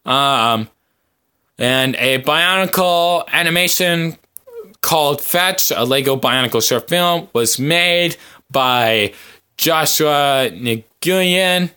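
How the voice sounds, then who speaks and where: A man with a bright, forward voice that sits a little high hosts a casual podcast. He rambles to his viewers in a halting, hesitant way, often stretching out pauses as he looks for the next phrase. His overall delivery is very unhurried. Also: clean tone. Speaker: podcast host.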